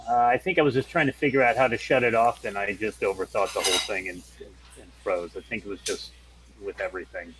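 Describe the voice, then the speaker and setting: Man, low voice, casual game-table chat, player. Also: fast, noisy background.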